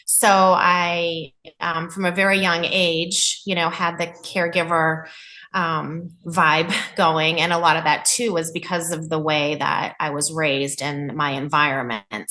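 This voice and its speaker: A woman from New England, a nurse and podcast guest, telling her life story in an earnest, open way with a loud voice. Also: deep voice, fairly even intonation, a little slow.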